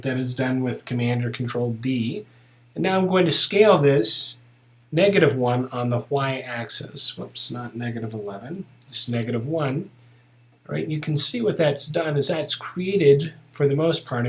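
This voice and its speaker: A man teaching software in a casual, unscripted way, thinking aloud as he walks through each step. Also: medium pitch. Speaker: software tutorial instructor. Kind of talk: narrated step-by-step screen tutorial, unscripted.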